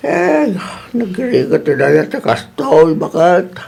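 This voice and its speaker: Someone doing a gravelly, animated old husband. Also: mid-range voice, takes it slowly, heavily acted. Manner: animated, exclaiming. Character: old husband, easily delighted. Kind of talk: radio comedy drama acting.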